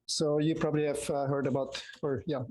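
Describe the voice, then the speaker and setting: A soft-voiced man with a Swiss accent presenting an informal photography lecture to a group, in halting phrases with many pauses. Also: fairly high pitch, little pitch movement. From Switzerland.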